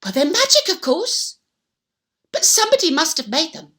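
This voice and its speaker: A woman's voice: very high voice, huge pitch swings, normal speed, sharp tone, pitched above the performer's own voice, exaggerated voice. A woman voicing a good-natured old shoemaker in a children's storybook, full of emphatic wonder. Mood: matter-of-fact.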